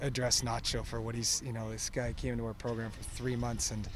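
Earnest coach in a postgame interview. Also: male voice, mid-range voice, flat delivery, slight background noise. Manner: earnest, halting, many fillers and restarts. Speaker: soccer coach. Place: outdoors at a soccer field at night. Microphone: handheld microphones held close.

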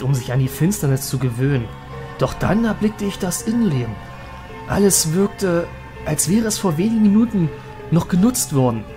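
A man playing a haunted young trainer, recounting his story in a brooding, dramatic way for a horror audio drama. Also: on the high side. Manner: dramatic, halting at moments, brooding. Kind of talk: German horror audio drama narration and acting.

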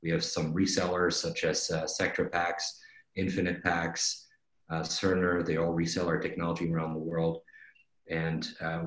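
A middle-aged man presenting conversationally on a webinar, explaining things and often checking in with "right?". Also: low-pitched. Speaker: company executive presenting a webinar. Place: indoors on a video call. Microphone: video call microphone. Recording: roomy, echoing video-call audio.

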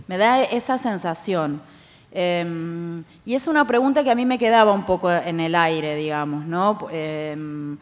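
Argentine woman asking a question in an echoing hall. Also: mid-range voice. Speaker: audience member asking a question. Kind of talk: informal academic question. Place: large university auditorium. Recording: reverberant auditorium sound.

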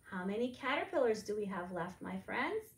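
A woman's voice: mid-range voice, sing-song, moderate pace. A middle-aged woman leading preschoolers through a lesson in an encouraging, sing-song, child-directed way. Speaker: library storytime presenter. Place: indoors, echoey room beside a board. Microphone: camera a few feet away. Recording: echoey room, distant microphone.

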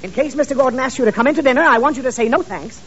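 A man's voice: extremely high pitch, racing, a bit bright. A man playing a flustered, exasperated father in a 1930s radio comedy, fussy and stammering, anxious to please. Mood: fussy, instructing. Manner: fussy, stammering, easily irritated. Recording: dull, noisy 1930s radio recording.

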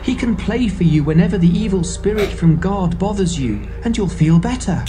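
A deferential court official in an audio Bible drama. He advises his king, with music clearly audible behind him. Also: high voice, expressive, moderate pace.

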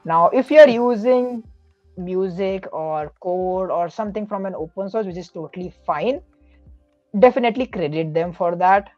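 A man's voice: high-pitched, normal speed, dry recording, faint music behind. Earnest man giving advice, dry muffled sound. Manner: earnest, explanatory, frequent self-corrections. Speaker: guest. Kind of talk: casual podcast advice.

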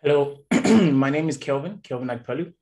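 A young man from Ghana, a youth worker, giving an earnest, halting panel answer over a video call in a small room. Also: medium pitch, a little mellow. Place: small indoor room with door.

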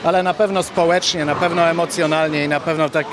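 A man in his forties, a team psychologist, calmly explaining in an interview at a bowling alley, into a handheld mic. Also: fairly high pitch. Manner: calm, measured explanations. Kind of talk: on-camera interview. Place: noisy bowling alley indoors. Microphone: handheld reporter mic. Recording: noisy venue background.

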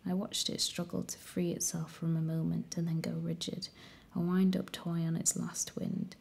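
Woman whispering a story, mellow and low, flat soft delivery. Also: normal speed, vocal fry. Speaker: audiobook reader. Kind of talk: whispered ASMR story reading.

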